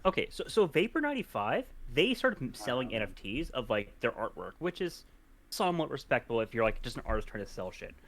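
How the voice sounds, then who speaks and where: A man co-hosting in casual, joking banter, halting and slangy, with some swearing. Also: mid-range voice, big pitch swings, a bit bright.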